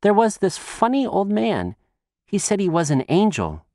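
A man reading a story and voicing a curious, chatty little girl in high, excited calls. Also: moderate pace, no room sound, studio quality, heavily acted.

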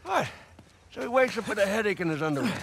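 An actor playing a brash, cocky thief in an adventure game, excitable in his delivery. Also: high voice, fast talker, gravelly, noisy background. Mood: cocky, excited.

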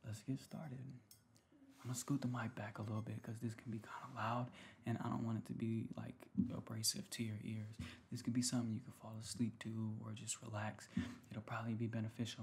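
Low-voiced young man, soothing casual ASMR. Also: a little mellow, breathy edge. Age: young adult. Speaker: ASMR video creator. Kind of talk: casual ASMR talk to viewers. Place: small room at home, indoors. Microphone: desk mic close in front.

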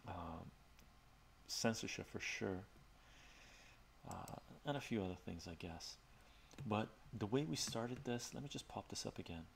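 Gravelly-voiced middle-aged man chatting. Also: on the low side, unhurried, a little hiss or hum. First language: Armenian. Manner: relaxed, rambling, many fillers and restarts. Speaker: livestream host. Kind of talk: casual soft-spoken chat with viewers. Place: home study with bookshelves.

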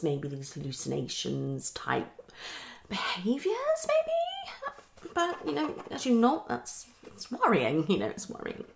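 A woman's voice: low voice, vocal fry, a little hiss or hum, heavily acted. A young woman playing a hasty, tactless female psychologist in an ASMR roleplay, half whispering in a condescending, hesitant way. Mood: brisk, doubtful. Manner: fast switching, hesitant repetitions, condescending. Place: small living room, indoors.

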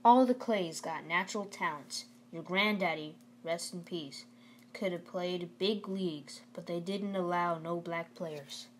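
A woman's voice: fairly low pitch, a little slow, slight background noise, lightly acted. A woman reading aloud the part of a stern, plain-spoken father who lectures his son in a firm, folksy way. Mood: reflective. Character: stern, plain-spoken Southern father, a working man urging schooling.

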